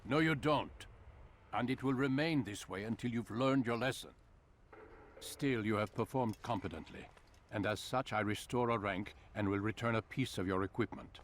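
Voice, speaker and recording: An actor playing a stern, commanding older master of assassins in a video game, speaking in a cold and measured way. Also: male voice, on the low side, a little hiss or hum, lightly acted.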